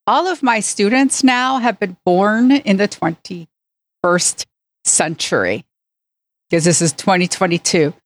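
A woman's voice: medium pitch, slow pace, no room sound. Woman podcast co-host with a dry sound. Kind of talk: casual chat.

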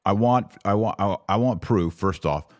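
A man's voice: on the low side, moderate pace. A man hosting a podcast in casual conversation, asking probing, inquisitive questions. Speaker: podcast host.

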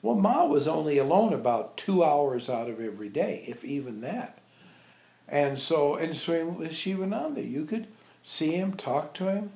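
A man informally reminiscing to visitors as a spiritual teacher, telling animated anecdotes and acting out remembered dialogue. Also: fairly high pitch, vocal fry. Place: echoey indoor room at an ashram. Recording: roomy, echoey sound.

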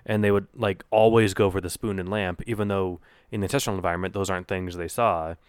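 Deep-voiced man, rambling podcast chat. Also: quick pace, clear, resonant tone, no echo, clean studio sound. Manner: relaxed, rambling, amused. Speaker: podcast co-host. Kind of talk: casual discussion.

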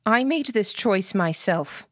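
Woman voicing a resolute girl, raised pitch. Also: expressive, slow pace, fairly bright tone, dry recording, studio quality. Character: resolute, self-sacrificing girl, steeling herself.

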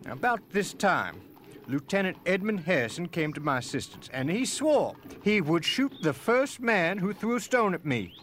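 Animated, indignant slaveholder. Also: male voice, sits high, moderate pace, a little hiss or hum. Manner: formal, emphatic, indignant. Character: indignant Maryland slaveholder filing a formal complaint. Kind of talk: dramatic reading of historical documents.

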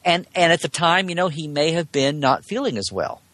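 A man's voice: on the high side, big pitch swings, a little fast, fairly bright tone, no room sound. A man speaking as a podcast guest in a casual, rambling chat about sci-fi, wry and jokey in his delivery. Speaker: podcast guest, Star Trek commentator.

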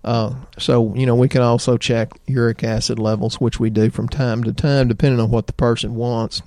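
A middle-aged man with a Southern American accent, a doctor chatting in a folksy, rambling way about health, his voice slightly hoarse. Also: mid-range voice, level tone, normal speed, a little mellow, no echo. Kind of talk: health talk show chat.

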